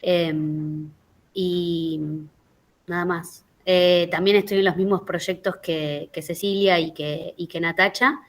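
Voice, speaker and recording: Woman researcher, lowish voice, halting, bad signal on the call. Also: fairly even intonation. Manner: hesitant, trailing off. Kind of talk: video call self-introduction. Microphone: video call. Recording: poor connection.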